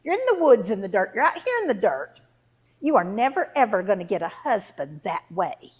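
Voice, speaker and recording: A woman's voice: mid-range voice, very animated, normal speed, breathy edge, voice pushed down. A woman storyteller voicing a scolding, pushy middle-aged mother in a folk tale, emphatic and repetitive. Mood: angry scolding.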